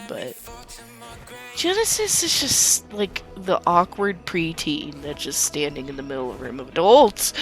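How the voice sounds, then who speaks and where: Young woman gaming host, calm soothing ramble, casual, background music under the voice. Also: on the low side. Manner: calm, soothing, rambling talk with light laughs. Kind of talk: casual gameplay commentary.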